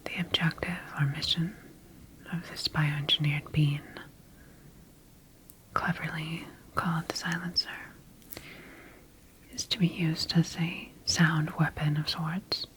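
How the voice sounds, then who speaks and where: A woman playing a clinical sci-fi scientist in a whispered ASMR roleplay, dictating observations in a measured, breathy voice. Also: deep voice, level tone, fairly bright tone, slight background noise, rough recording. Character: clinical female sci-fi scientist studying her engineered creature.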